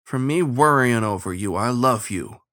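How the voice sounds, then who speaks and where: A man's voice: medium pitch, lively intonation, normal speed, pushed and bright. A man voicing a devoted, tender-hearted beaver riverman in a storybook, speaking plainly and earnestly in rustic grammar.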